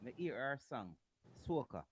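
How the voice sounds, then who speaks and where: A man co-hosting a podcast, asking excited, slangy questions that trip over themselves. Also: medium pitch, moderate pace, background noise. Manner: excited, slangy, repeats himself. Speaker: podcast co-host. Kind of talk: casual interview chat.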